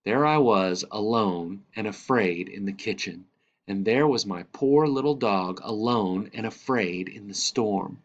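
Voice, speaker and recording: A man's voice: fairly low pitch, a little slow, natural voice. A man voicing a candid, wry grandfather who tells his grandson a storybook tale with dramatic emphasis, in a slightly dull recording. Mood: dramatic, anxious.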